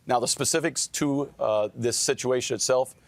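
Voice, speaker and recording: A man speaking as an aviation official, giving a formal interview statement in careful, measured phrasing with some hesitation. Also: mid-range voice, gravelly, no room sound. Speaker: aviation official speaking for air traffic controllers.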